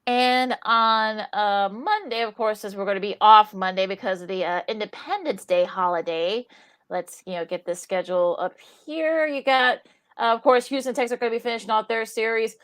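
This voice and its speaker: A woman's voice: on the high side, moderate pace, a bit bright. A woman co-hosting a casual sports radio show, thinking aloud with many "you know"s and trailing hedges.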